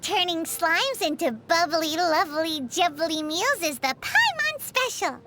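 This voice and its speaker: A woman voicing a tiny, childlike fairy companion in a video game, with a squeaky voice and a perky, eager manner. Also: expressive, unhurried, bright, forceful tone, faint music behind, heavily acted. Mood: cheerful. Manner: perky, refers to self in third person.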